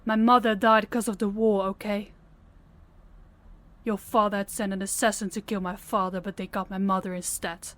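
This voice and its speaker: A woman playing a guarded but caring young rival princess in an intimate fantasy audio roleplay, reserved and measured. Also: mid-range voice, level tone, fairly bright tone, close to an everyday voice. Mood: bitter, grieving.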